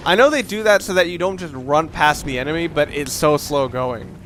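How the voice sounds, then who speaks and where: A man with a fairly high, forceful, bright voice talks through a video game in a casual let's play style. He digresses and halts mid-thought, opinionated and loosely sweary. There is some noise behind his voice. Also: fairly quick. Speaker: let's play gamer commentator. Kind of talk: casual gameplay commentary, opinionated rambling.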